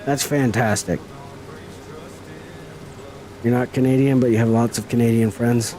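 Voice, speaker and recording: Slow-talking folksy man streaming a game. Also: medium pitch, steady tone, dark tone, poor audio. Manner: folksy, teasing, chatty with viewers. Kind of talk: casual live-stream chat while gaming.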